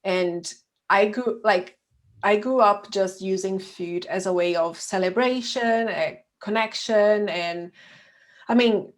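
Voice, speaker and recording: A woman in her thirties speaks with a Brazilian accent, Portuguese being her first language. Her voice is medium in pitch, and she talks casually and personally, as a coach being interviewed on a podcast. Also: slow. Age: 30s. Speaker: podcast guest, emotional eating coach.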